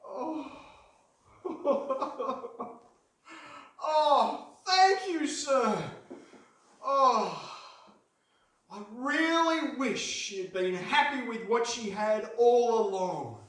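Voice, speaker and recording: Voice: medium pitch, lively intonation, extremely slow, pauses often, fairly bright tone. A performer playing a hapless henpecked fisherman in a live radio play, plaintive and hesitant. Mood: relieved. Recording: very echoey hall, distant, noisy.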